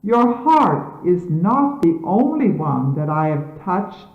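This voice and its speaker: A woman reading scripture as a solemn sermon in measured, emphatic, formal diction, on an archival recording from the 1970s. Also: on the low side, sing-song. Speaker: religious leader reading scripture aloud. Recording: phone-like, echoey 1970s archival tape.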